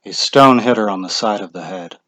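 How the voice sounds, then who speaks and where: A man's voice: fairly low pitch, a little fast, a bit bright, no room sound. A man narrating a short story as a scripted dramatic reading.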